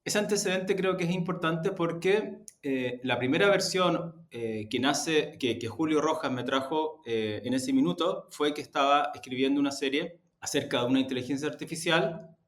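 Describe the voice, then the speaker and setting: A man's voice: a little high, moderate pace, few pauses, a bit bright. A man with a Chilean accent giving reflective interview answers, hesitating as he searches for words. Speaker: interview guest, audio production executive. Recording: roomy, echoey sound.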